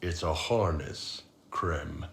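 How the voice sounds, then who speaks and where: A huge brash warrior boss, very low voice, jovial, blunt, video game dialogue, roomy. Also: male voice, slow pace, a bit bright, heavily acted. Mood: teasing. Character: big, brash, jovial horned mercenary chief. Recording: roomy game capture, faint background noise.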